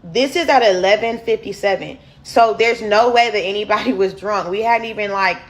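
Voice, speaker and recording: A deep-voiced woman, candidly telling viewers a personal story in a casual storytime vlog. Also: moderate pace. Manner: candid, chatty, addresses viewers as y'all. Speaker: storyteller host.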